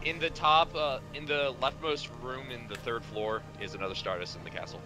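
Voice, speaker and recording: A man's voice: medium pitch, fairly even intonation, fairly quick, rough recording. A man casually joking and swearing in exasperated banter while commenting on a video game as part of a group. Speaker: voice actor in a group video game dub playthrough. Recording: music behind the voice.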